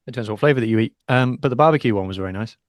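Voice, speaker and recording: A man's voice: medium pitch, very fast, no echo. Chatty man in a dry home studio. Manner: chatty, explanatory, self-deprecating. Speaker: podcast co-host. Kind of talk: casual chat and rugby analysis.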